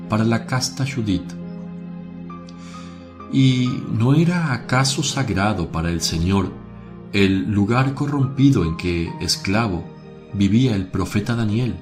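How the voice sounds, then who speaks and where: A lowish, very unhurried male voice acted by a man of a gentle holy teacher in a religious radio drama. He preaches solemnly, with measured, declamatory phrasing, and music plays behind him.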